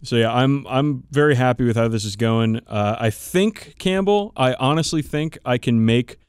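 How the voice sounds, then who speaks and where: A man's voice: medium pitch, lively intonation, normal speed, clear, resonant tone, no room sound, clean studio sound. A man co-hosting a podcast in casual hobby chat, informal and enthusiastic, swearing freely. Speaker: podcast co-host.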